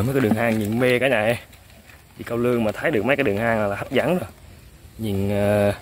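A man, excitedly commenting to viewers while fishing on a riverbank. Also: on the low side, a little hiss or hum. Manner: excitable, exclaims often, chuckles. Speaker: fishing vlogger. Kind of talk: casual outdoor commentary to viewers. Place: outdoors by a riverbank.

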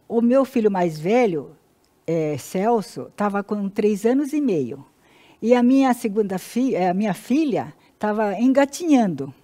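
Halting older Brazilian woman, mellow voice. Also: medium pitch. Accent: Brazilian Portuguese. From Paraná, Brazil. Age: 60s. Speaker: interview guest. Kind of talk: personal life story in an interview. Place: indoor TV-style studio.

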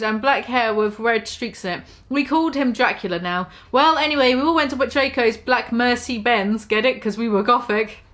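A young woman with a high voice delivers a comic dramatic reading. She is theatrical and mock-serious, overplaying the text and stepping out of it with amused remarks. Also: fairly bright tone. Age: 20s. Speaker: online video host. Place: small room at home.

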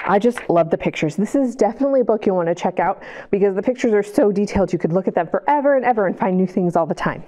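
A woman librarian reading picture books aloud at family storytime, animated and sing-song, gasping with delight and asking young listeners questions. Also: medium pitch, quick pace. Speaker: children's librarian.

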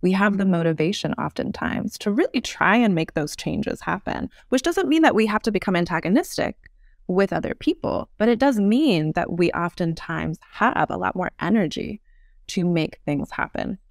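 A woman in her thirties explaining ideas as an interview guest, articulate and measured, with polished professional phrasing. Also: on the low side, lively intonation, vocal fry, dry recording, studio quality. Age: 30s. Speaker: podcast guest.

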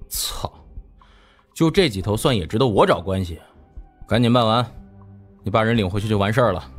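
An arrogant rich young gangster, played by a man talks in a low, curt, dismissive voice. It is an audiobook drama over faint music. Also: animated, normal speed. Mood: scornful.

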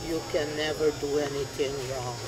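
A man's voice: on the high side, steady tone, normal speed. An older man at an indoor market, speaking earnestly and preachily about spiritual truth. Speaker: market visitor. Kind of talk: casual debate. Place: large indoor flea market hall. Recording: busy crowded hall behind.

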